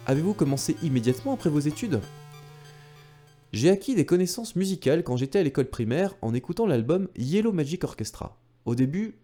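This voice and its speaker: A man's voice: medium pitch, mellow. A man narrating a retro gaming video from a script, enthusiastic and speaking directly to viewers with casual asides. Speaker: video creator and host.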